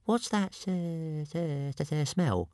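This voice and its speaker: Man putting on a high stammering little dragon. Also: slow pace, a little mellow, dry recording, clean studio sound. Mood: puzzled, uneasy. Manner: hesitant, nervous.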